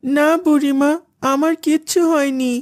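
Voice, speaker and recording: Woman playing a high-voiced, humble servant boy. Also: little pitch movement, measured pace. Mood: guarded, innocent. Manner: meek, earnest. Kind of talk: cartoon voice acting.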